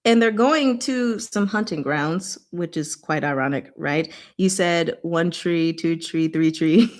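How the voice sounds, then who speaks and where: A woman's voice: fairly low pitch, measured pace, darkish tone. A woman hosting a casual book discussion, explaining things informally with playful, rambling asides.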